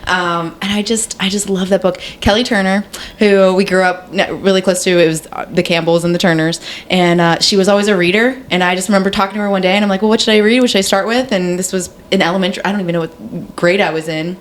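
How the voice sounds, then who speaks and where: A woman's voice: mid-range voice, fairly even intonation, fast talker. A woman co-hosting a podcast in casual chat, rambling and often interrupting herself. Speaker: podcast co-host. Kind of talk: casual chat about books.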